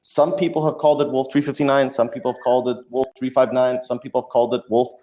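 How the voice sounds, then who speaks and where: A man's voice: medium pitch, little pitch movement, fast, dull audio. A man telling stories casually as a guest in a podcast interview over an online call. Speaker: podcast guest, audio drama creator.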